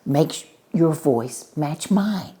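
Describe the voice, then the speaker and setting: A woman voicing a calm, caring female schoolteacher who speaks slowly and firmly in a children's storybook reading. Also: low-pitched. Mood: firm, encouraging. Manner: slow, firm, deliberate. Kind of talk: children's storybook read-aloud.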